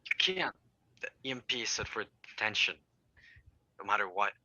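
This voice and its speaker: A man playing a shaken, regretful father in an audio drama table read, speaking haltingly. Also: fairly low pitch, lively intonation, pushed and bright, no echo, heavily acted, low-quality recording. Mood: stunned, defeated.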